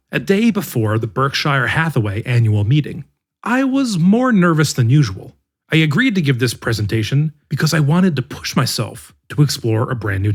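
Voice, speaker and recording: Male podcast narrator, slightly high voice, husky tone, reads an essay aloud with measured phrasing. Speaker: podcast narrator reading an article. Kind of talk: scripted narration.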